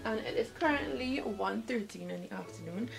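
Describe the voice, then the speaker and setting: A woman's voice: medium pitch, animated, moderate pace, gravelly. A woman in her twenties chatting casually to a handheld camera in a bedroom, rambling and correcting herself as she goes. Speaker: vlogger. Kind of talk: casual vlog chat. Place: small bedroom, indoors. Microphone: handheld camera at arm's length.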